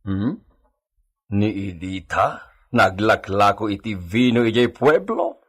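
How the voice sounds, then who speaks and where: A man's voice: fairly low pitch, animated, slow pace, a bit bright, husky, heavily acted. A man with an Ilocano accent playing a stern, curt, formal master of a villa in a radio drama. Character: stern, imperious master of a villa, Señor Esteban.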